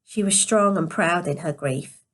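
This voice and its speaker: Woman, storyteller, somewhat low pitch, quiet, sleepy, scripted narration, careful reading. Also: unhurried. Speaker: storyteller reading a novel aloud.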